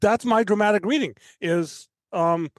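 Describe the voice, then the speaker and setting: A man's voice: high voice, measured pace, dry recording, studio quality. A man from Canada chatting casually as a guest on a podcast. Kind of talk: casual chat.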